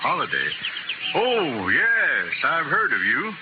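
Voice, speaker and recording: An actor playing a flustered, hesitant small-town judge in an old-time radio western, halting and stammering as he talks. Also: on the high side, huge pitch swings, exaggerated voice. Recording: dull, very noisy old radio recording.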